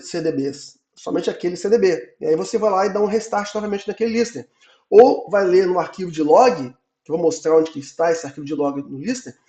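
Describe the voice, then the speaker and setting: Guy with a cold teaching databases, kinda high voice, roomy echo. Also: darkish tone. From Brazil. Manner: explains in repeated checks, ending phrases with "tá?". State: hoarse from a cold. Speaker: database instructor. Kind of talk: online technical lecture.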